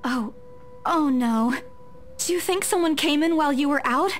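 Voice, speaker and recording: A woman's voice: high voice, moderate pace, a little hiss or hum, voice pushed up, natural voice. A woman voicing a dazed young female realtor who hesitates and stammers after a fall. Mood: worried.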